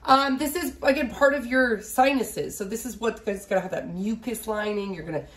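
A woman's voice: fairly high pitch, normal speed. A woman in her thirties giving a casual recorded biology lecture from a small home office, a little nasal from a cold, often asking "right?". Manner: chatty, asks "right?" often, fillers. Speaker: biology instructor.

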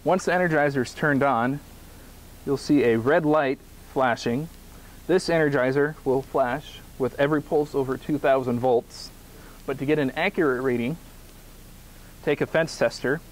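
A man's voice: medium pitch, moderate pace. A man in his thirties giving a plain step-by-step demonstration outdoors in a grassy field, speaking in short phrases while he works. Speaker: product demonstrator.

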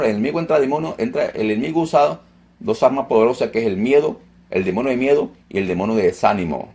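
A man teaching a deliverance ministry class in an indoor studio, preaching emphatically and swelling into loud rebukes, on a lapel mic. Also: on the low side, creaky.

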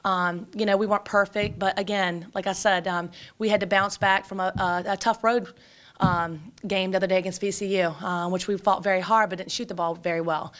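A woman in her forties, a basketball coach, talking quickly and energetically at a postgame press conference, with many fillers and restarts, into a table microphone. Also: medium pitch, steady tone. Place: press room before a sponsor backdrop. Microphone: table microphone in front.

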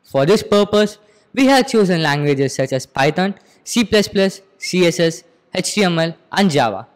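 High-voiced Indian teenage boy, echoey room. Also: lively intonation, moderate pace. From Andhra Pradesh, India. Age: teens, about 15. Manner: formal, explanatory. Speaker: student presenter. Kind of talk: rehearsed school project presentation. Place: school computer lab, indoors. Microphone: handheld microphone. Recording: echoey room, long reverb.

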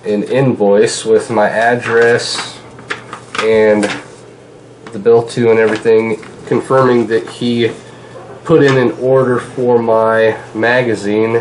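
A man in his thirties with a stuffed-up nose from a cold, hosting a casual gun-gear vlog from his kitchen table, chatty and relaxed with many fillers. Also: lowish voice, a little hiss or hum, rough recording. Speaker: gun channel host. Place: home kitchen, indoors.

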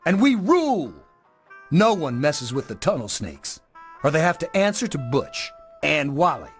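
Cocky young punk gang bully from a video game, voice kinda high and swingy, music behind. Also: male voice, sing-song, unhurried. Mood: boastful. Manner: swaggering, sneering. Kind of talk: video game dialogue acting.

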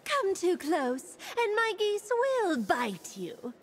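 Young playful goose gorgon, game character, very high squeaky pitch, swooping intonation, cheery, punning. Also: female voice, wildly swooping pitch, takes it slowly, heavily acted. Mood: teasing, sly. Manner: punning, sing-song, exaggeratedly cheery. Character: cutesy playful female goose-themed gorgon, young and perky. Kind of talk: video game character voice lines.

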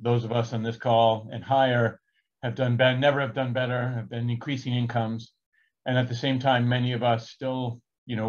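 A middle-aged man on a video call from an office, building a long question step by step. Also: medium pitch. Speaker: panel questioner. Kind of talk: asking a long question in a virtual town hall. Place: office with large windows, indoors. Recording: slightly dull video-call audio.

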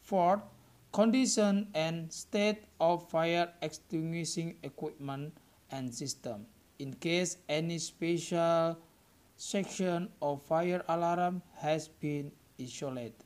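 A young man with an Indonesian accent reads a scripted student presentation aloud, halting word by word. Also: on the high side, darkish tone, smooth. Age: young adult.

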